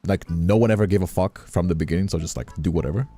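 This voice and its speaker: A young man from Brazil chatting casually on a livestream, halting and repeating himself as he checks for agreement. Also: deep voice, dark tone. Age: young adult. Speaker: streamer. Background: background music.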